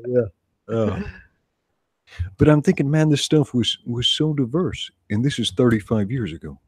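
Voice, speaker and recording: A man in his forties from the rural American South, chatting casually in a rambling, animated way and laughing often. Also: medium pitch, normal speed, breathy edge, no echo. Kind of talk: casual chat.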